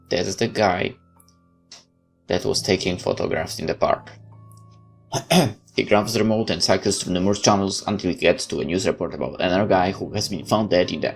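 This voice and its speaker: A man's voice: on the low side, vocal fry. A man doing a let's play, reading a game's story text aloud with casual asides. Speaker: game streamer reading aloud. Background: game music.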